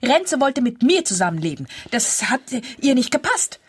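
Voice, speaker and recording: An actress playing a hot-tempered, vengeful jilted woman in a radio play, with a husky, shrill voice. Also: very animated, moderate pace, full character voice. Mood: outraged.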